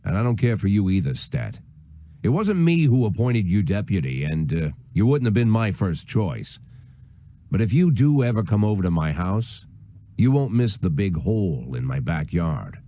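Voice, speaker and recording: An actor playing an aging, hard-bitten police chief in a video game, commanding, sardonic and threatening. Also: male voice, low-pitched, sing-song, normal speed, dark tone, no room sound. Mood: cold, menacing. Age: older. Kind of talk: dramatic video game voice acting.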